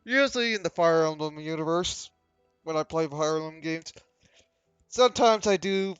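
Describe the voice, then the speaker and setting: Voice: mid-range voice, normal speed, smooth, no echo, dull audio, soft background music. A game streamer giving casual live commentary, close on the mic.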